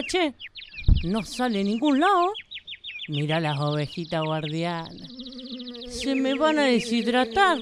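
A woman's voice: on the high side, noisy background. A woman playing a brash small-town amateur sleuth in a radio play, with a colloquial, exclamatory Argentine delivery. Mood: bothered, curious. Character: brash, slangy small-town female amateur sleuth who narrates her adventures. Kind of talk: live radio play acting. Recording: music behind the voice.

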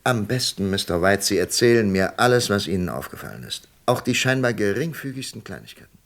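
A shrewd male investigator in a radio crime drama. His lowish voice swings expressively and has a gravelly creak. He probes patiently, pausing to think. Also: animated. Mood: probing, intrigued. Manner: deliberate, coaxing questioning with thoughtful pauses.

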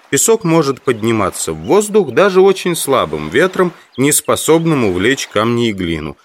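A man reads literary prose as an audiobook narrator. His mid-pitched voice moves widely up and down, giving the scripted first-person text an expressive shape. The phrasing is measured and careful.

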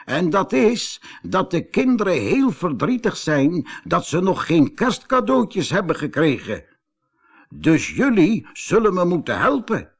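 A man's voice: high-pitched, sing-song, unhurried, slightly rough, pitched above the performer's own voice, exaggerated voice. A man with a Netherlands Dutch accent voicing a firm, kindly old man in a children's storybook. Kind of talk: storybook character dialogue.

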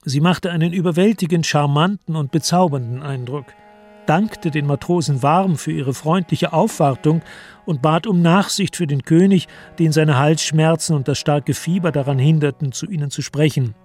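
Measured male narrator, slightly high-pitched. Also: a little mellow, soft background music. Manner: measured, deliberate storytelling. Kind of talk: scripted literary narration in a German radio play.

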